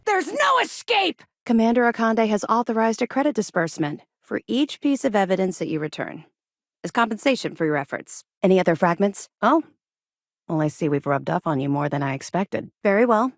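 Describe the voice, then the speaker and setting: An actress playing a disciplined, guarded female officer in a space navy for a video game, with a crisp, formal briefing manner. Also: medium pitch, big pitch swings, brisk, no echo, studio quality. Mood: urgent shouting. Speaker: video game character voice actor. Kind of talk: video game dialogue acting.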